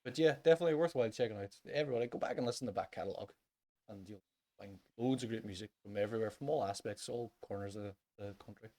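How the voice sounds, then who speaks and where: A man's voice: lowish voice, rapid-fire, mellow. A Northern Irish man hosting a music podcast in a casual, chatty style. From Northern Ireland.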